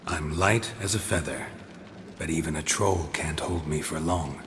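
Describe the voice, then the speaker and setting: An actor playing a stoic, dry-witted monster hunter in a fantasy video game, speaking in a terse, deadpan way. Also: male voice, rumbling low, measured pace, noisy background. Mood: calm, calculating. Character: stoic, dry-witted adult male monster-hunting hero.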